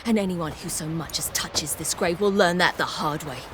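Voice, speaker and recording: A woman's voice: on the low side, normal speed, slight background noise, lightly acted. An actress playing a defiant young warrior woman in a fantasy game, blunt, resolute and loyal. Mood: defiant, angry.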